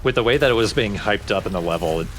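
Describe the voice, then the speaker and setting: Low-pitched male let's play co-commentator, casual gameplay chat. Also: lively intonation, fast talker, fairly bright tone, slight background noise.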